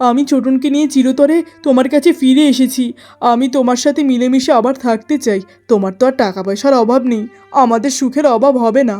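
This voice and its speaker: A woman's voice: high voice, fairly even intonation, a little fast, warm tone, soft background music, natural voice. A woman playing a troubled merchant husband in a melodramatic cartoon story, earnest, aggrieved and regretful. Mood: remorseful pleading.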